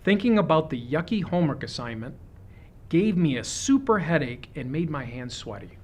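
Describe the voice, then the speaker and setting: A man reading a children's picture book aloud, with expressive, deliberate phrasing suited to young listeners. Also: a little high, muffled recording. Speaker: storybook reader.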